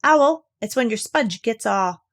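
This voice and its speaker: Woman as an excitable, high-pitched little boy kangaroo. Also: measured pace, full character voice. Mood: excited. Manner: eager, childlike exclaiming. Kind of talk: children's storybook character reading.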